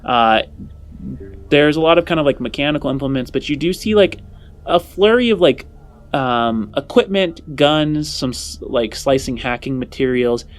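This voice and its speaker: A man's voice: on the high side, animated, moderate pace. A man narrating a tabletop roleplaying game as game master, improvising descriptions and often saying "like". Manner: frequent "like" while describing scenes.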